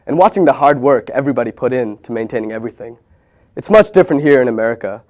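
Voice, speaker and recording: A man who grew up in Bangladesh narrates a documentary, his delivery earnest and reflective. His voice sits at an ordinary middle pitch, and he sounds as though he is reading from a script. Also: a little mellow.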